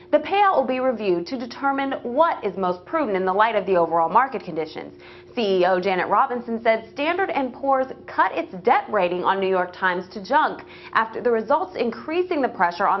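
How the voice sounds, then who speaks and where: High, lively newsreader woman, dull recording. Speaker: news presenter. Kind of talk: scripted news bulletin read. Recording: dull lo-fi clip with hum.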